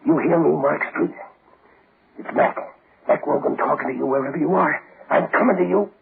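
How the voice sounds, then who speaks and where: An actor playing a frantic, vengeful condemned man in a 1940s radio drama, with a gravelly, intense voice. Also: fairly high pitch, normal speed, narrow, tinny audio. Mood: menacing. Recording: noisy old radio broadcast.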